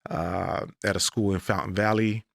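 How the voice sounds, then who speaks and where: A man in his forties, originally from Mexico, telling his life story as a guest on an interview podcast in a deliberate, measured way. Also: lowish voice, steady tone, vocal fry, no echo, clean studio sound. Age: 40s. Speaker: guest, English professor.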